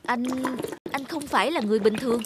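Cartoon young farmer, woman performer: high-pitched, kind-hearted, earnest, excitable. Folk-tale delivery. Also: quick pace, background noise, natural voice. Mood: concerned. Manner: exclamatory, earnest.

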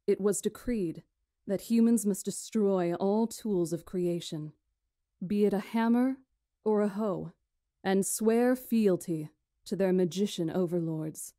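A woman playing a mellow, imperious witch storyteller. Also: mid-range voice, no echo, studio quality, lightly acted. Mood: solemn storytelling. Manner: formal, deliberate, imperious storytelling. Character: poised, commanding witch storyteller lecturing a council. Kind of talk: visual novel voice acting.

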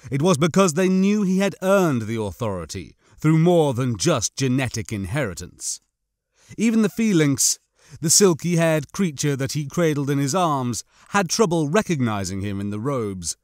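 A man's voice: fairly high pitch, a little mellow, no room sound. Man narrating an audiobook, dry sound. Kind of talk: expressive scripted fiction narration.

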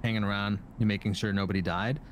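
A man's voice: on the low side, steady tone, quick pace. A man casually explaining himself as a player in an online game voice chat. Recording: voice chat, faint noise.

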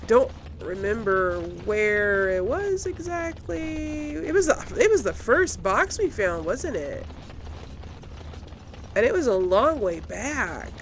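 A woman's voice: on the high side, very animated, takes it slowly, slight background noise. A woman giving casual gameplay commentary, thinking aloud and often questioning herself as she goes. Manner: musing, self-questioning. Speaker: let's play host.

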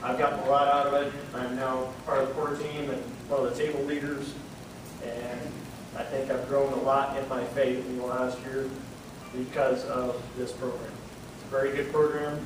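A man giving a plain-spoken personal testimonial to a group, speaking without a microphone. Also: medium pitch. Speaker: program member giving a testimonial. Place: large meeting room indoors. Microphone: no microphone, far camera. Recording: echoey room from far off with noise.

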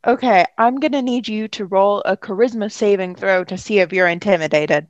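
A woman's voice: medium pitch, brisk, dry recording, muffled recording. A woman acting as game master, narrating an improvised tabletop story in a casual style.

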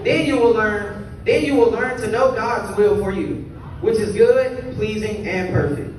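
A man's voice: high voice, measured pace, a little hiss or hum. A teenage young man giving an earnest youth sermon in a large church sanctuary, reading scripture and preaching in short phrases. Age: teens. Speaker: youth speaker. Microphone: pulpit mic filmed by a phone from the pews. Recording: roomy far-off phone recording in a large hall. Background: congregation ambience.

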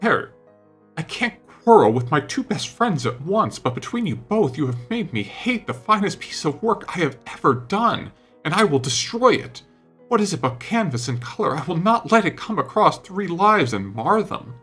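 A man voicing an earnest, indignant gentleman painter in an audiobook, speaking emphatically with emotion and reproach. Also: medium pitch, big pitch swings, normal speed, hardly any pauses, slightly rough, soft background music, voice pushed up. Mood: bitter, indignant.

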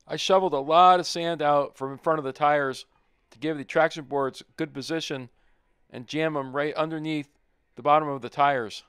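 A man's voice: on the high side, steady tone, moderate pace, a bit bright, clean tone, dry recording, clean studio sound. A middle-aged man narrating his off-road trip in a plain-spoken, easygoing voice-over. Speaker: overlanding vlogger.

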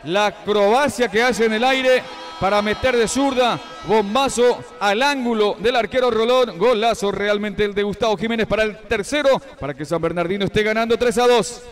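A man with a Paraguayan Spanish accent calling a youth futsal match live, with quick, excited play-by-play that climbs at scoring chances. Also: sits high. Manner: rapid, excited calls that rise near goal chances. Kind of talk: live sports commentary.

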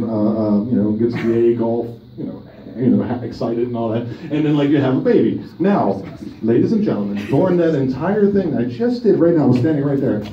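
A man's voice: medium pitch, slight background noise. A man in his thirties doing a crude, profane open-mic stand-up set, teasing the front row from a small bar stage. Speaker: stand-up comedian. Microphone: handheld stage mic, filmed from far above. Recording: distant roomy lo-fi club recording. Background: audience laughter.

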